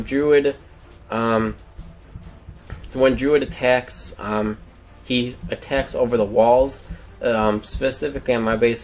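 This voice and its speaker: Rambling young man narrating a mobile game. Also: mid-range voice. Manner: rambling, many "um" fillers. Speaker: gameplay commentator. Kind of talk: casual game commentary.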